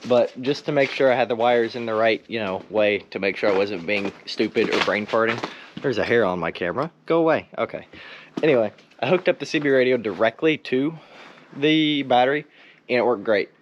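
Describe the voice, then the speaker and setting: A young man in his teens talks in a casual vlog style with a goofy, self-mocking manner. His voice sits at an average pitch. The recording has clear background noise with clicks, made while working around a truck. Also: a little fast, lo-fi sound. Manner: goofy, self-joking, mimics sounds. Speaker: vlogger restoring an old truck.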